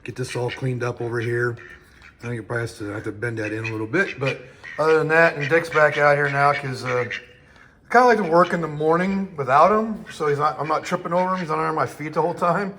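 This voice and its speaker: Hoarse man talking through shop work. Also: fairly high pitch, fast, a bit bright, slight background noise. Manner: rambling, easygoing, many fillers. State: hoarse, losing his voice after illness. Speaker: host of a home auto repair channel. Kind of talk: casual talk-through of a shop project.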